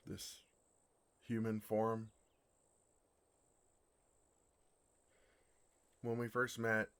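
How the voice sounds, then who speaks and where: A man playing a bashful, lovestruck male dragon in human form for an ASMR fantasy roleplay, speaking in a halting, earnest way. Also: fairly low pitch. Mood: soft, confiding. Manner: flustered, halting, earnest delivery.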